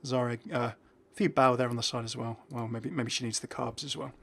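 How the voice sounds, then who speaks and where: A man presents a gaming video in a mid-pitched voice. His commentary is informal and conversational, with an enthusiastic, chatty delivery. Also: steady tone, a little fast, creaky, fairly bright tone.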